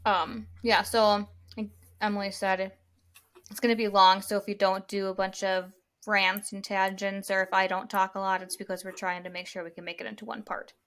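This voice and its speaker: A woman in her thirties co-hosting a casual podcast chat, relaxed and rambling as she talks. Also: mid-range voice, normal speed. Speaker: podcast co-host.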